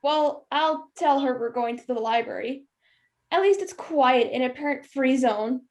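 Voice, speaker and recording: This is a young woman playing a spoiled, snide college girl in a student play. Her voice sits very high. She talks with sarcasm and open insolence. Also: unhurried. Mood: sarcastic. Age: young adult. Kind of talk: stage acting in a student one-act play.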